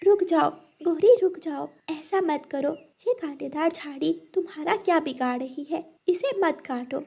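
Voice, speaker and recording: A woman's voice: sits high, a little slow, creaky, a little mellow. A woman voicing a kind, protective mother bird in a cartoon, pleading and reasoning. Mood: urgent pleading. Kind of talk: Hindi moral cartoon character acting.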